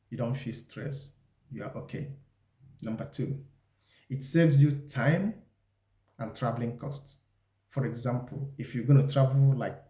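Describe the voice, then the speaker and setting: A man with a mid-pitched, mellow voice gives an instructional talk in a reverberant room. He explains deliberately and addresses the viewer directly, like a presenter walking through advice. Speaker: video presenter giving job interview advice. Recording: echoey, roomy sound.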